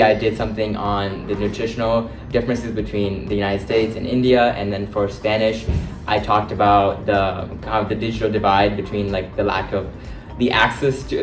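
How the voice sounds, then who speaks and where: Teen guy, roomy distant sound, music behind. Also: on the low side. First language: Hindi. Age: late teens. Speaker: high school senior. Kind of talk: interview answers.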